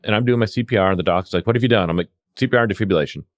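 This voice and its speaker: A man's voice: fairly low pitch, rapid-fire, dry recording, clean studio sound. A man working as a paramedic and instructor, telling anecdotes conversationally and acting out the dialogue. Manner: acts out exchanges with quoted dialogue. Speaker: paramedic and CPR instructor telling field stories. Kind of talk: animated anecdotal storytelling in conversation.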